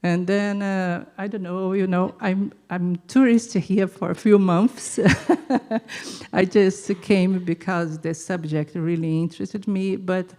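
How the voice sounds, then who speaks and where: A Brazilian woman with a Portuguese-speaker's accent, an audience member speaking off the cuff in halting, searching phrases. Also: mid-range voice, steady tone, warm tone. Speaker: audience member, art teacher. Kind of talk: unscripted audience comment at a discussion event.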